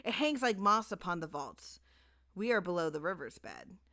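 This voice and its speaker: Woman voicing a smoothly solicitous, scheming gentleman. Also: on the low side, big pitch swings, normal speed. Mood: feigned concern. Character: scheming gentleman feigning solicitous concern, coldly polite.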